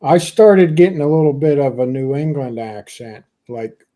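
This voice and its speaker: Pennsylvania man hosting a podcast, relaxed mellow voice, chatty and loose. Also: mid-range voice, normal speed. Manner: chatty, warm laughs, many "you know" fillers. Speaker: podcast host. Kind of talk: casual chat on a livestream.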